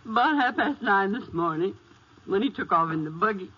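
Muffled vintage radio, distraught country daughter, tearful, raspy, guilt-ridden. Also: female voice, mid-range voice, lively intonation, fairly quick. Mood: tearful, worried. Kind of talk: 1950s radio western acting. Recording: muffled 1950s radio recording.

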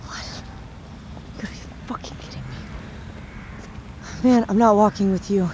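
A woman's voice: mid-range voice, big pitch swings, unhurried, noisy background. A woman playing a desperate young employee with a drug habit, blunt, jittery and swearing, in a naturalistic audio drama. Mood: irritated. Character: desperate, foul-mouthed young female drug-using employee.

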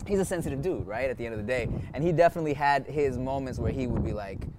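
A man in casual, relaxed podcast conversation, talking about his parents and laughing easily. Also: mid-range voice, fast, noisy background. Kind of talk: casual chat.

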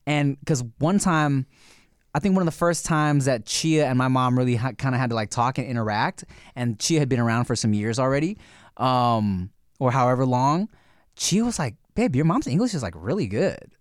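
A mid-pitched man in casual podcast conversation, easygoing and rambling, full of fillers and restarts. The sound is dry and close on the mic. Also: expressive, normal speed, no echo. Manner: laid-back, many fillers and restarts. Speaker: podcast co-host.

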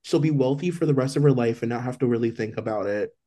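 Mellow-voiced man chatting gossip. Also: medium pitch, quick pace. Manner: loose and chatty, heavy on "like". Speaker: podcast co-host. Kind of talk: casual celebrity gossip chat.

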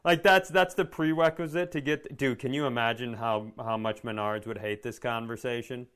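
A man's voice: mid-range voice, fairly even intonation, moderate pace, fairly bright tone, smooth. A man co-hosting a comedy podcast, telling stories in casual chat with sarcasm, swearing and acted-out dialogue.

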